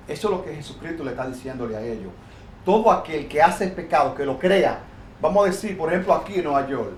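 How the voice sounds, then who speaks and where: A Dominican man with a Dominican Spanish accent, preaching his views animatedly in an informal Bible discussion, often restarting his sentences. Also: on the high side. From Dominican Republic. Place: New York. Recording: echoey room, faint noise.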